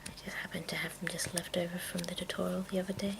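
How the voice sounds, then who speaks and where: A woman hosting an ASMR video in a breathy whisper, chatting casually and playfully as she shows off her things. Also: fairly low pitch, level tone, fast talker, background noise. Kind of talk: whispered ASMR, casual show-and-tell of makeup tools.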